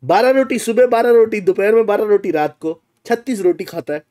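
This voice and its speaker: A man's voice: high voice, lively intonation, a little fast, darkish tone. A man, bantering casually on a game livestream in a teasing, mock-indignant, exclamatory manner. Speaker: game streamer. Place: small room indoors.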